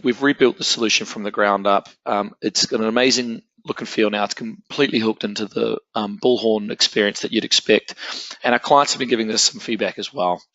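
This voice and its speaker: Low-voiced man co-hosting a product webinar. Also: bright, forceful tone, dry recording, lo-fi sound. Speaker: webinar co-host. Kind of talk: promotional webinar presentation.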